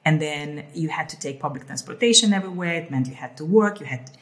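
A woman's voice: deep voice, animated, fast talker. A middle-aged woman from Hungary, co-hosting a casual conversation and often repeating words as she thinks aloud. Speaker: co-host.

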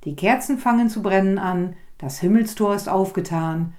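Woman reading as the Christ Child, heavenly child, calling, urgent, mellow voice. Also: mid-range voice, moderate pace, close to an everyday voice. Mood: urging.

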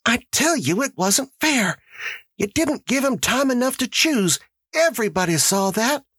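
A narrator voicing a shrill housewife who protests indignantly and insistently, in an audiobook short story. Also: big pitch swings, a little slow, a bit bright, breathy edge, no room sound, studio quality, exaggerated voice. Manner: complaining, insistent.

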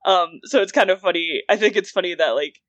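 A woman's voice: fairly low pitch, a little fast, dry recording. A woman in her thirties co-hosting a casual chat, rambling loosely and peppering her sentences with "like". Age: 30s. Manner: rambling, animated asides with frequent "like". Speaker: podcast co-host. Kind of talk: casual film and music chat.

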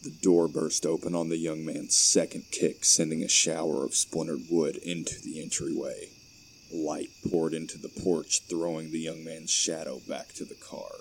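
A man's voice: extremely low pitch, level tone, moderate pace. A man reading scripted narration for a horror audio play, with night insects behind him. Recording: faint background noise.

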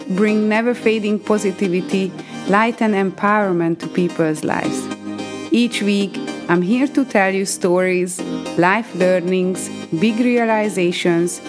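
Unhurried Hungarian-accented woman podcast host. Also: medium pitch. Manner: upbeat, earnest, motivational. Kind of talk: unscripted solo podcast monologue.

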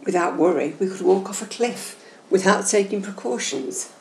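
Female meditation host, soothing instructional delivery, gravelly fry in the voice, slight background noise. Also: medium pitch, lively intonation. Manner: gentle, measured, instructional. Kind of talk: slow, soothing guided meditation narration. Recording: roomy echo, faint background noise.

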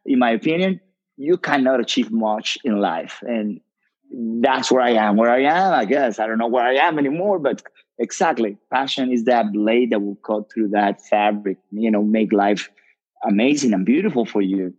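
Man, mid-pitched, earnest rambling delivery full of "you know, like", dull lo-fi call audio. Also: normal speed. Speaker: rum brand ambassador. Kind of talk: panel discussion.

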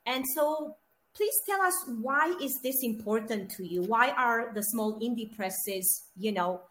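A woman's voice: fairly high pitch, expressive, unhurried, a bit bright, poor audio. A woman from Romania, co-hosting a casual interview over a video call and laughing often. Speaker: podcast co-host.

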